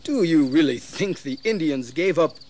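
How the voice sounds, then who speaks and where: A bold, blunt colonist played by a man with a slightly high voice. He speaks emphatically in historical radio drama style, over faint background noise. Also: lively intonation, moderate pace, darkish tone, lightly acted. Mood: scornful. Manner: outspoken, emphatic. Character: blunt, disgruntled colonial planter, bold and scornful. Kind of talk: historical audio drama acting. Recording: faintly noisy 1980s drama recording.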